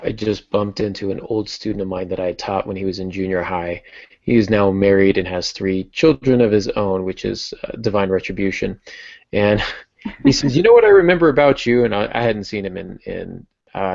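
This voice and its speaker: Male podcast co-host, middle-aged, creaky and reflective, self-deprecating, on lo-fi audio. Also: sits low, a little fast, a little mellow, dry recording. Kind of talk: casual literary discussion.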